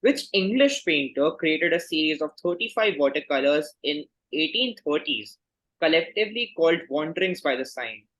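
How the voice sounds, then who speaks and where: Man, quiz host, fairly high voice, formal reading, courteous, measured delivery. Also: sing-song, a bit bright, rough recording.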